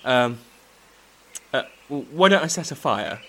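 A man's voice: medium pitch, lively intonation, measured pace, fairly bright tone. A man playing an awkward, earnest half-elf adventurer in a fantasy audio drama. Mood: flustered, apologetic.

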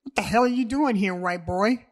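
A woman's voice: mid-range voice, normal speed, pushed and bright, close to an everyday voice. A woman reading the part of a brash, jealous ex-boyfriend who bellows and taunts. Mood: swaggering, taunting.

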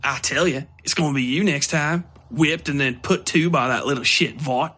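A man's voice: fairly high pitch, moderate pace, bright, forceful tone. A man voicing an angry, scheming laborer with coarse, spitting, goading talk in an audio story. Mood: indignant, spiteful. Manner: coarse, spitting, rural slang. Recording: music under the voice.

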